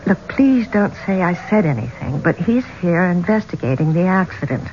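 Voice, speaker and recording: An actress playing an excitable but wary young woman in a 1970s radio drama, speaking with wonder in halting phrases. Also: fairly low pitch. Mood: guarded, confiding. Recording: muffled, noisy old radio recording.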